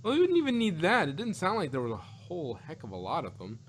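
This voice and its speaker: A man reviewing in a casual podcast conversation, talking in a halting, animated, argumentative way and often repeating himself. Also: on the high side. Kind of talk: casual review chat about an audio drama.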